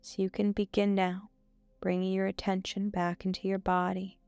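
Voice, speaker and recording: Female meditation guide: smooth, clear voice, unhurried and soothing, soft music behind. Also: medium pitch, monotone, no echo. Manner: soothing, measured delivery with frequent pauses. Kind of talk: scripted guided meditation, hypnotic suggestion.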